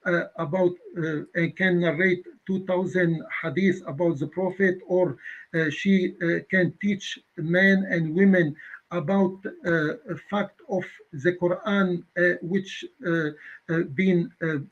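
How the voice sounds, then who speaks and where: Man, 50s, high-pitched, hesitant and halting debater, muffled lo-fi sound. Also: fairly even intonation. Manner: halting, many filler pauses, non-native phrasing. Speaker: guest debater. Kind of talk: online debate.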